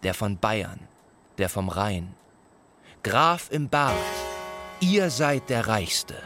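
A man declaiming old ballad verse in a radio play, stately and deliberate. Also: fairly low pitch, sing-song, music in the background, exaggerated voice.

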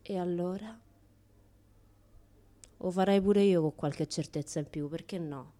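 A woman's voice: on the low side, a little slow, natural voice. Actress as a defiant, chatty Roman woman. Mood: provocative, sardonic. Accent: Roman Italian. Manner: colloquial, rhetorical questions, swinging between hushed asides and loud outbursts. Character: self-styled naive young woman, defiant, chatty, indignant. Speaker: actress performing a monologue. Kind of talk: theatrical monologue for radio, colloquial and confessional.

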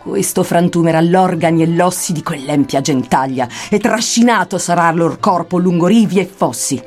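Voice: medium pitch, normal speed, darkish tone, close to an everyday voice. A grave, wise guide voiced by a reciter declaims prophetic old verse in a radio poetry drama. The tone is solemn and oracular, with light music beneath the voice.

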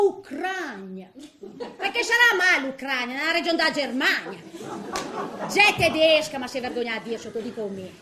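Grumpy old granny in a nursing home, really high voice, swoopy, comic theatre rant. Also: female voice, pushed and bright, exaggerated voice. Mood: indignant, scornful. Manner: grumbling, colloquial, exclamatory. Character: cantankerous, complaining eighty-year-old Italian woman in a nursing home. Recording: roomy echo, faint background noise.

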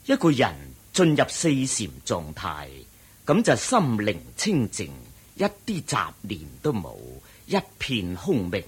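An actor playing a solemn, humble ascetic Buddhist monk who teaches patiently in measured Hong Kong Cantonese, in radio drama style. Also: male voice, mid-range voice, huge pitch swings, breathy edge, full character voice. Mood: calm, instructive. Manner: measured, deliberate, didactic.

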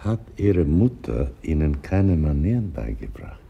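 An actor playing a gruff older would-be writer in a radio play, with a gravelly, husky voice and a wry, theatrical manner. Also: male voice, a bass voice, very animated, measured pace, warm tone, lo-fi sound, exaggerated voice. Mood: irritated.

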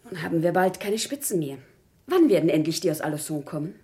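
An actress playing an earnest, dutiful milliner's wife in a radio play, grave, formal and restrained. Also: female voice, on the low side, lively intonation, fast, dark tone. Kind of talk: German radio play acting.

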